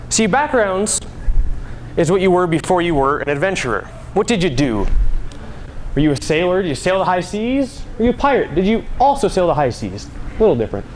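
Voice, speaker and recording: High-voiced young man giving a speech. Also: rough recording. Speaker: student presenter. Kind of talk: prepared live speech. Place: school stage. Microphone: clip-on mic. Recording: live room, background noise.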